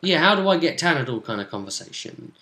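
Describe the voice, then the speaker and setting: A man with a bright, crisp-edged voice recaps a tabletop roleplaying adventure. He talks casually and a little haltingly, as a game master would. The room around him rings with noticeable echo. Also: fairly high pitch, very animated. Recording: echoey room.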